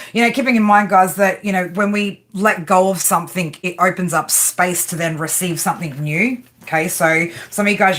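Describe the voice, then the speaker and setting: A woman's voice: on the low side, fairly bright tone. A woman with an Australian accent, chatting casually and playfully on a live stream while she does a tarot reading and answers viewers' comments. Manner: chatty, playful, slangy, reads chat comments aloud. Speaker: tarot reader.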